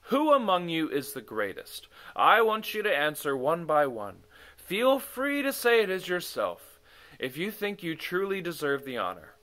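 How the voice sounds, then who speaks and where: Man playing a commanding, forceful storybook king. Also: a little high, pitched above the performer's own voice, full character voice. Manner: regal, projected, deliberate. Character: commanding, wise king addressing his messengers.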